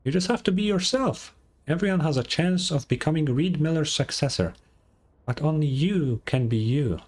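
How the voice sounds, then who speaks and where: A woman voicing a perky, bossy little fairy companion in an anime game, squeaky and exclamatory. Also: lively intonation, normal speed, darkish tone, husky. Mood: earnest, encouraging. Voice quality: squeaky, childlike. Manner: refers to self in third person, exclamatory. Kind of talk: anime video game voice acting.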